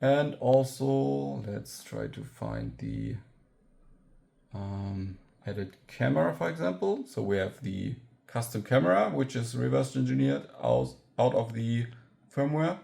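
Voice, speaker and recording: Guy walking thru an electronics hack, slow and gravelly, kinda thinking out loud. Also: mid-range voice, very animated. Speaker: electronics hacker presenting own project. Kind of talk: casual hands-on tech tutorial.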